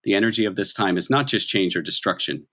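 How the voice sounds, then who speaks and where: A man's voice: fairly low pitch, fast, bright, forceful tone. A man working as game master, improvising narration in a conversational, dramatic storytelling manner.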